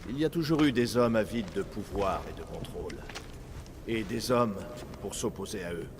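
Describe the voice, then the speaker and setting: A man acting a guarded, weary older Persian father and resistance fighter in a French-dubbed video game, speaking in a grave, measured way. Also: lowish voice, expressive, background noise. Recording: game music behind.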